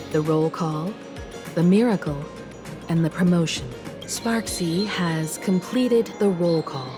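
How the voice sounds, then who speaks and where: Low-voiced, composed game mentor. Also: female voice. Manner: measured, earnest explaining. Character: composed, caring woman mentor, serious and protective. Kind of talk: video game story dialogue. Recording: game music behind.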